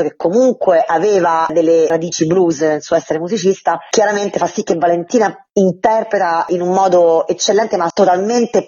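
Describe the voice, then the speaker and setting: A woman's voice: on the low side, dark tone, husky, dull audio. A woman, an actress, being interviewed and explaining her work in an expansive, articulate, conversational way.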